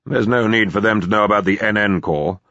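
A man voicing a sober corporate security chief in an audiobook, measured, formal and matter-of-fact. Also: lowish voice, bright, forceful tone, no echo, highs cut off, pitched above the performer's own voice.

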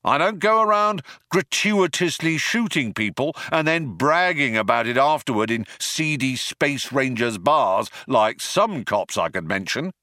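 A man voicing a pompous, self-righteous male alien space cop who shouts through a bullhorn, in an audiobook. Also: mid-range voice, lively intonation, measured pace, few pauses, no echo, studio quality, pitched above the performer's own voice. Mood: indignant.

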